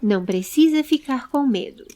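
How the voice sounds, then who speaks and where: Uma mulher interpretando uma senhora calma e cuidadosa, que fala sem alarde e tranquiliza, numa história em áudio. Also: female voice, sits high, moderate pace, a little hiss or hum. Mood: tranquilizadora. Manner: unalarmed, reassuring. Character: calm, caring lady of the treehouse.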